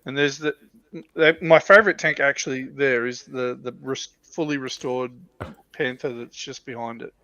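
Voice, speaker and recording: A man with a mid-pitched voice talks informally and keenly, circling back to restart phrases. The recording is dry and a little dull, like modest livestream audio. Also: normal speed, sharp tone, dry recording. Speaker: livestream panellist. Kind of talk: casual enthusiast chat.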